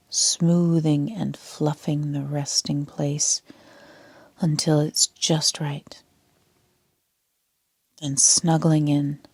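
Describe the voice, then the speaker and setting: A woman leading a sleep meditation as a yoga nidra instructor, speaking in a soft, half-whispered, calm and soothing way. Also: low voice, breathy edge, no room sound.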